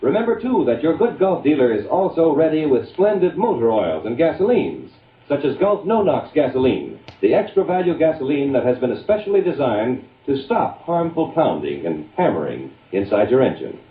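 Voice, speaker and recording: A man's voice: mid-range voice, very animated, normal speed, few pauses. A man reading a scripted old-time radio commercial as its lead announcer. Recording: tinny, echoey vintage radio recording.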